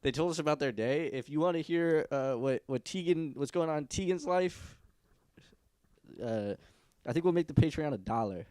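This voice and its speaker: A man's voice: fairly high pitch, lively intonation, normal speed, a little mellow, smooth, dry recording. Nasal, rambling man in his twenties. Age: 20s. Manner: rambling, laughing, swearing freely. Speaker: podcast co-host. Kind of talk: casual chat.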